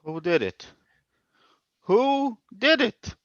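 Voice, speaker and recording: Guy doing a flustered stammering crook in a radio play, pitched high, sharp, slightly muffled. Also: very animated, very slow, no echo, heavily acted. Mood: alarmed. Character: panicky male crook, flustered and pleading. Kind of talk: old-time radio drama acting.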